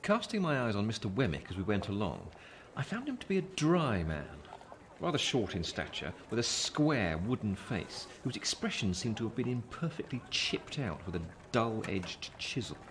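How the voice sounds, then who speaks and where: A man playing a wry, gloomy gentleman, narrating a Victorian tale in the first person in measured phrases. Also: fairly low pitch, big pitch swings, slight background noise.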